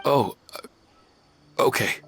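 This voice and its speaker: A man playing a dazed, apologetic dreamer in a video game, speaking hesitantly and groggily. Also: very slow. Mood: subdued, apologetic. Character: dazed, apologetic dreamer, husband searching for his wife.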